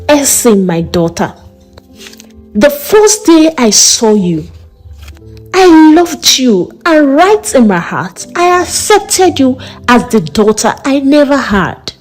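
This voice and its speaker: A woman voicing a warm, reassuring and direct middle-aged mother in a folktale, coaxing and motherly. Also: high voice, very animated, slow, faint music behind, exaggerated voice. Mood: affectionate, coaxing.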